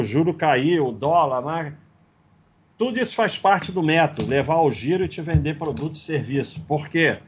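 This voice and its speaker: A man's voice: fairly high pitch, darkish tone, a little hiss or hum. A middle-aged man with a Brazilian Portuguese accent hosting a live investing stream, lecturing bluntly and sarcastically while going through slides. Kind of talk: casual livestream talk, reading slides.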